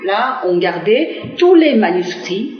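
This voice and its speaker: A middle-aged woman from Korea speaks, answering a TV interviewer as a diplomat. She pauses often, fills gaps with "euh" and restarts her phrases. The sound is roomy and dull, like an old lo-fi broadcast. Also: medium pitch, very animated, soft background music. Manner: hesitant, many fillers and restarts.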